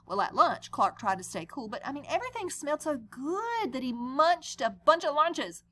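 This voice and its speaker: Bright, animated woman reading to children. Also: high voice, moderate pace. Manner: animated, conversational, addresses young listeners with questions. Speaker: read-aloud storyteller and teacher. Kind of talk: children's picture-book read-aloud with explanations.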